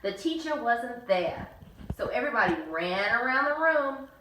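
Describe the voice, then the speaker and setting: A woman co-hosting a bedtime story reading, with a lively voice that rises and falls a lot. Her tone is bright, and she leaps high when she voices the characters. She sits back from the mic in a roomy space. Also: normal speed, a little hiss or hum. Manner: lively, jumps way up in pitch for character lines. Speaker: co-host and storyteller. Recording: echoey room, mic at a distance.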